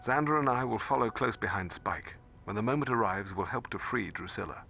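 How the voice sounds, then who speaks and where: A gravelly, formal scholarly mentor. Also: male voice, fairly low pitch, pushed and bright, slight background noise. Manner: formal, measured and stern. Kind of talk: video game voice acting.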